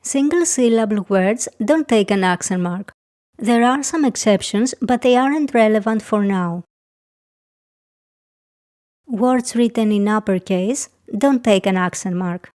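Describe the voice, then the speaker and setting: Greek lady teaching a beginner class, slow and careful, every word clear. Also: medium pitch, a little mellow. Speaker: language teacher. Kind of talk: scripted lesson narration.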